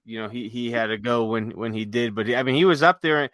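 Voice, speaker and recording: A man's voice: mid-range voice, quick pace, a bit bright, no room sound. A man hosting a podcast in a casual, unscripted, rambling conversational way. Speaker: podcast host.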